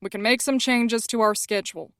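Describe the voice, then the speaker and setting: A woman's voice: on the high side, fairly even intonation, brisk, fairly bright tone, no room sound, studio quality. A woman voicing a firm, protective male band member with a curt, clipped delivery.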